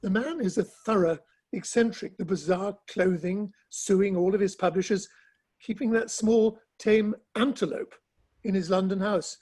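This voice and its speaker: Man playing a high, raspy Victorian gentleman. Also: steady tone, a little slow. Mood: amused. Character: gossipy, bemused Victorian literary gentleman, clipped and droll. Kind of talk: dramatic play reading over the internet.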